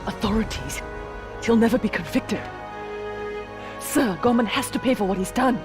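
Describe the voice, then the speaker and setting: A woman's voice: on the high side, moderate pace, close to an everyday voice. Woman as tough lady navy lieutenant in a game cutscene, clipped n defiant, pushing hard, big music under it. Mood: urgent, angry. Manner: clipped, forceful, defiant military delivery. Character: determined, vengeful young female Navy lieutenant turned commander. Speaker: special operations field commander. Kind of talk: dramatic video game cutscene acting. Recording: loud music behind the voice.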